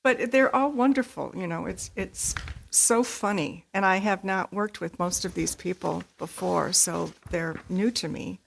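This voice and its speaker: A woman's voice: on the low side, measured pace, creaky, slight background noise, rough recording. An older woman giving a casual interview as a guest, playful and self-deprecating in how she talks. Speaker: amateur community theater actor, interview guest.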